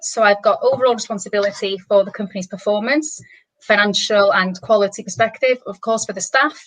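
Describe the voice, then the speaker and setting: A woman's voice: mid-range voice, fairly bright tone, poor audio. Enthusiastic Welsh-accented woman. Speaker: managing director, guest. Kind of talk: casual online panel chat.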